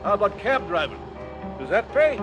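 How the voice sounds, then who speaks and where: A man's voice: high voice, sing-song, moderate pace, over music. An actor playing a cocky, fretful gangster boss and hitman with clipped, colloquial tough-guy talk in a darkly comic radio drama. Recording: 1970s stereo radio drama.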